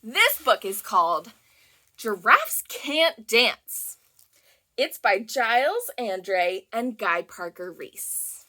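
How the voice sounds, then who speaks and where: A woman reading picture books aloud to young children as a storytime host, with an expressive, deliberate delivery that leans on key words. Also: a little high, rough recording. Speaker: children's librarian hosting a storytime.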